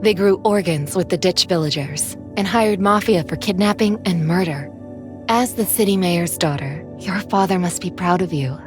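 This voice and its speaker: A woman's voice: fairly low pitch, moderate pace, natural voice. An actress playing an earnest female broadcast journalist in a game cutscene. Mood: solemn. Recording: very loud music under the voice.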